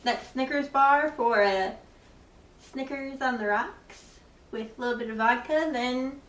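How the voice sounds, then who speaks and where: Female podcast guest, high-pitched and eager, heard from a distance in a roomy space. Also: measured pace, a bit bright. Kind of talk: casual chat.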